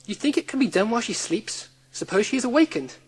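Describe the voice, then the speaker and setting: A man playing a brisk, practical secretary with a businesslike, clipped manner in a dramatised audiobook. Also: sits high, sing-song, husky, heavily acted. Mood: curious. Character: brisk, practical gentleman's secretary surveying a room.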